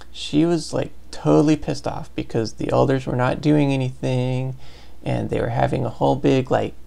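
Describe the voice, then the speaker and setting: Man, heavy vocal fry, casual game walkthrough, rambling explanations, informal. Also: mid-range voice, dark tone. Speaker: Let's Play commentator. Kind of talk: casual gameplay commentary.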